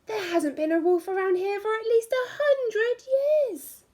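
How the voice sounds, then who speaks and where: Woman reading as a high, breezy storybook mum. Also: expressive, a little slow, darkish tone. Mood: breezy, unworried. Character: easygoing, unworried mother in a storybook.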